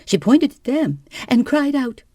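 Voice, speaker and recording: A woman's voice: sing-song, measured pace, a little mellow, no room sound, clean studio sound, heavily acted. A woman voicing a fretful, self-pitying invalid wife who whines and dramatizes everything. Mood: plaintive. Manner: whining, theatrical, high-strung. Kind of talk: audiobook character voice.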